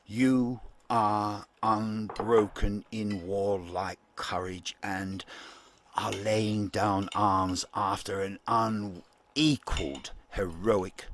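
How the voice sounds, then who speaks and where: A man playing a solemn 1940s radio newsreader, reading a scripted bulletin in a formal, measured, deliberate manner. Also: lowish voice, a little hiss or hum. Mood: grave, declamatory.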